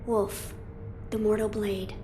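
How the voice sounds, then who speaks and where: A woman's voice: medium pitch, level tone, slow, over loud music, close to an everyday voice. Earnest young noble boy lord, voiced by a woman. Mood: gentle, resolute. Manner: polite, formal, gently commanding. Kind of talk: video game cutscene acting. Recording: clear background ambience noise.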